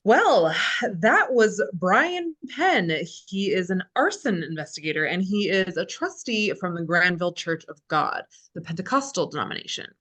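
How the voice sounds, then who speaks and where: A sardonic, chipper female reality show host, performed by a woman at a script read. Her voice sits slightly low and swings widely in pitch. She presents to camera with polish and drops in sarcastic asides. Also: lively intonation, normal speed, a bit bright. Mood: flustered. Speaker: actress in a script table read.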